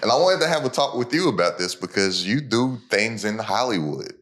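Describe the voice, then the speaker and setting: A man with a very low voice talks as a podcast host. His delivery is informal and emphatic, pitched like an entrepreneur's pep talk to the listener. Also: big pitch swings, fairly quick, fairly bright tone.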